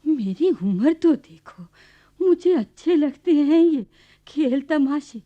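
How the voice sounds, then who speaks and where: Radio drama: simple, exasperated elderly village grandmother, rather high pitch, muffled archive sound. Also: animated, takes it slowly, mellow. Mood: weary, exasperated. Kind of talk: radio play acting. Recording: dull archival radio recording.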